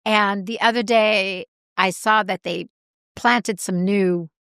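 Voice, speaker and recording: Woman co-host, congested and gravelly, animated, dry studio sound. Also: mid-range voice, slow pace, no room sound. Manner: animated, self-deprecating storyteller. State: congested, clearing throat and coughing. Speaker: podcast co-host. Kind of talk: casual chat.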